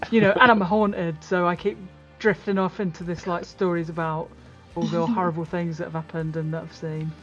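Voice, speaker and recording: A woman's voice: fairly low pitch, level tone, moderate pace, hardly any pauses, a bit bright. A woman chatting casually as a player in a tabletop role-playing game. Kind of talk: casual chat. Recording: muffled lo-fi audio with music behind. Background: background music.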